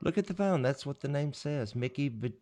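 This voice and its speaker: A man's voice: medium pitch, animated, a little fast, mellow. Brief: man, co-host, folksy and teasing, reads comments aloud. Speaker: co-host reading viewer comments. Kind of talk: casual live-stream chat.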